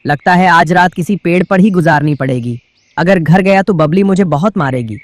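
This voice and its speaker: A high-pitched male bird husband played by a man from a kids' cartoon, forever henpecked and exasperated. He whines and grumbles his lines, recorded dry and close. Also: moderate pace, dry recording, lightly acted. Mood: miserable, complaining. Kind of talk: children's cartoon dialogue.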